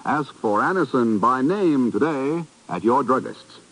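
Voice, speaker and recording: A man with an American accent reading scripted announcements and sponsor pitches in polished, emphatic 1950s radio style. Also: animated, unhurried, very noisy. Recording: vintage 1950s radio broadcast. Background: music.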